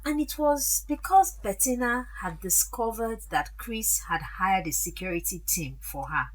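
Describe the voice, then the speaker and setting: Woman acting a husky, animated society aunt. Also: mid-range voice, measured pace, low-quality recording. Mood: confiding, animated. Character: wealthy older aunt, gracious, chatty, fond of her nephew. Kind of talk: audio drama dialogue.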